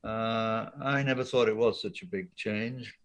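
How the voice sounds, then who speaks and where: Older man, low-ish voice, hesitant, thoughtful, laptop webcam. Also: a little slow. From Wales. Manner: thoughtful, hesitant with many ums. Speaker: art professor guest. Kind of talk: casual interview talk. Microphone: laptop webcam on a video call.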